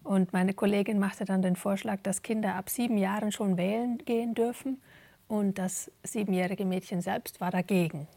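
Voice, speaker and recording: Woman interview guest, husky with some vocal fry, reflective and hesitant, informal conversational delivery. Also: mid-range voice, steady tone, normal speed, dark tone. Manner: thoughtful, hesitant, searching for words. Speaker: interview guest, theatre performer.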